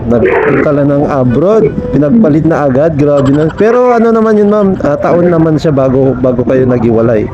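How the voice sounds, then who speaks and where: A man's voice: on the high side, very animated, normal speed, hardly any pauses, crowd noise. A Filipino man chatting casually as a rider and vlogger, teasing his passenger and often chuckling. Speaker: motorcycle taxi rider and vlogger interviewing a passenger. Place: outdoors on a moving motorcycle in traffic. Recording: muffled, music over vehicle noise.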